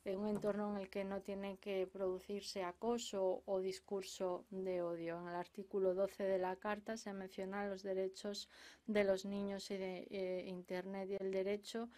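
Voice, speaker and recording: A woman interpreting conference speeches simultaneously from a booth, halting often with filler sounds and restarts. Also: medium pitch, flat delivery, warm tone.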